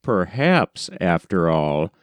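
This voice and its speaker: A man reading a short story aloud as scripted narration, in a measured, expressive storytelling manner. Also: deep voice, clean tone, dry recording, clean studio sound. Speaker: narrator, audiobook reader.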